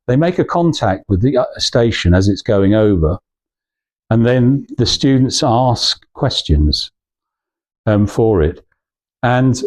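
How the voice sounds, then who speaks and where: A man's voice: medium pitch, darkish tone. A male speaker gives an informal talk to a small group. His delivery is hesitant and anecdotal. He wanders through his points, often breaking off and starting a phrase again. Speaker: guest speaker at a club talk.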